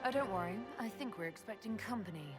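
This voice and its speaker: A woman's voice: medium pitch, expressive, fast, loud music behind the voice, natural voice. A woman voicing a resolute, diplomatic female hero leader in a video game. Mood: persuasive. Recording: game audio with long reverb.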